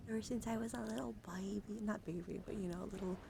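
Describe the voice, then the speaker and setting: A woman's voice: medium pitch, steady tone, fast, gravelly. Playful woman streamer, noisy background. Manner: playful, teasing, chatty. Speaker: livestream host. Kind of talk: casual ASMR livestream chat.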